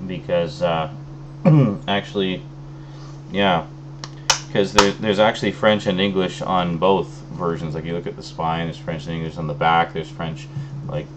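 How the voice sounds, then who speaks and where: A man with a very low voice talks loosely through an unboxing, full of ums and trailing restarts. He sounds a little phlegmy from an oncoming cold. A faint hum sits under the recording. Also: measured pace. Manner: relaxed, rambling, many fillers. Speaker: video host. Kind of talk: casual unboxing chat.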